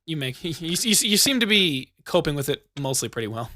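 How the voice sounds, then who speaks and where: A man's voice: a little high, very animated, fairly quick, pushed and bright, dry recording. An American man hosting a podcast, chatty, telling stories and swearing casually. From United States. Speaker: podcast host.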